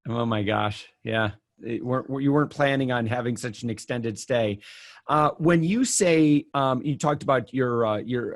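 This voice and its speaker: Regular-pitched guy hosting a podcast, friendly chatty questions. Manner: chatty, laughs easily. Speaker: podcast host. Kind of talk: casual interview.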